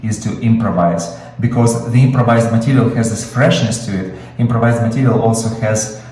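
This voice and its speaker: A man's voice: fairly low pitch, fairly quick, darkish tone, very echoey, recorded from a distance. A man with a Russian accent, Russian his first language, teaching screenwriting in an improvised webinar, explaining with many fillers and restarts. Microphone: video call.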